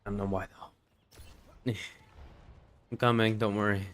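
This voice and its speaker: A man with a slightly low, congested voice talks through a live gaming stream. He rambles and swears casually, filling the gaps with "like" and "you know". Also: level tone, takes it slowly. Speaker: livestreamer. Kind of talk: casual live gameplay commentary.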